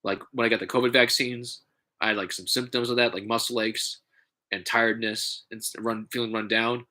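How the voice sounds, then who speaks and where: A man with a stuffy, congested nose, talking in a halting, casual way with many fillers and restarts, vlogging from home. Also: mid-range voice, flat delivery, bright, forceful tone. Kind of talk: casual rambling vlog.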